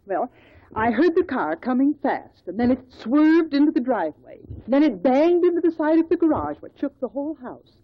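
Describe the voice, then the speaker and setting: Tart, high-pitched mother-in-law. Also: very animated, moderate pace. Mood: guarded. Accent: American. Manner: snappish, blunt. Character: tart, defiant older mother-in-law on the witness stand. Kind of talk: 1950s American radio drama acting. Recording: dull lo-fi 1950s radio recording.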